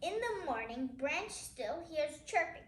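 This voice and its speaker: A child reading a picture book aloud in a halting way, adding casual comments along the way. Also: high voice, big pitch swings. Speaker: child reader, host of a reading channel. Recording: echoey room.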